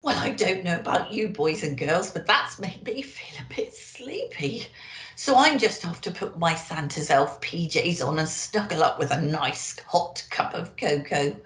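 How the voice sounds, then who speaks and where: A woman reading a children's storybook aloud in an expressive, theatrical way, addressing young listeners. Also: fairly low pitch, a little slow. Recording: echoey, roomy sound.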